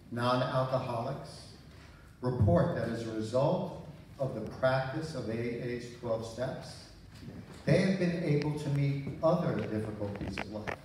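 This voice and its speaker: An older man with a mellow voice reads aloud slowly and carefully at a church service. The sound is very echoey and a little distant. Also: mid-range voice. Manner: measured, careful reading. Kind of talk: reading aloud from printed text. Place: small church sanctuary. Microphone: handheld microphone, filmed from audience. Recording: echoey hall, distant mic, faint noise.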